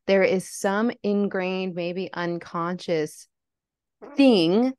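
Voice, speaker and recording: A woman's voice: fairly low pitch, gravelly, darkish tone, no echo. A young adult woman from Lebanon, a podcast guest, talking candidly and earnestly with lots of "like" fillers. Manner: earnest, reflective, frequent "like" fillers. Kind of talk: candid conversational storytelling.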